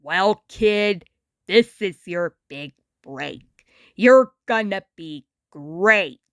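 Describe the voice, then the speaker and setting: A woman acts out a jaded old male mudskipper from showbiz, pitched well down into a gruff register. He talks in a world-weary, wisecracking drawl, like a storybook cynic handing out advice. The sound is dry and close. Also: lively intonation, extremely slow, a bit bright, no room sound, heavily acted. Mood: encouraging. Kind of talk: character voice in storybook reading.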